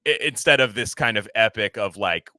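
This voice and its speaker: A man co-hosting a podcast, talking casually about film in a halting, rambling way and often slipping into imagined dialogue. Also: lowish voice, bright, forceful tone, no echo, clean studio sound. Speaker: podcast co-host.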